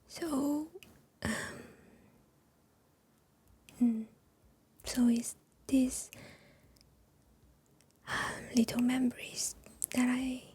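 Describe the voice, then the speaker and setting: A woman whispers close to the mic in a very slow, breathy ASMR chat. Her voice sits a little high but stays flat and even, and she pauses often with soft fillers. She is a Chinese speaker talking in English. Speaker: ASMR creator.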